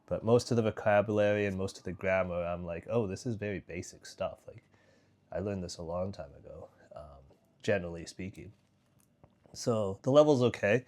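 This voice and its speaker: A man's voice: deep voice, darkish tone. A young man vlogging in a casual, rambling way, full of fillers and restarts. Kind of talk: casual vlog chat.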